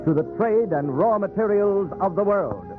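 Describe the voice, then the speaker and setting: A man's voice: high voice, lively intonation, normal speed. A man giving solemn, oratorical scripted narration in 1940s radio style. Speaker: narrator of a historical radio drama. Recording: tinny 1940s radio recording with music.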